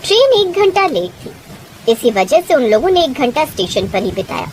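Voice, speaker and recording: A woman's voice: sits high, very animated, moderate pace, very noisy. A woman narrating a scripted moral story as a storyteller.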